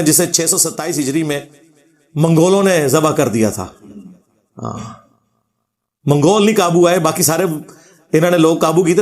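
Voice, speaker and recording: A man lecturing on religion in a notably high-pitched voice with a Pakistani Punjabi accent. He argues his rebuttal informally and sardonically, moving between commentary and quoted scripture. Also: a little mellow. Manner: argumentative, sardonic, quoting scripture.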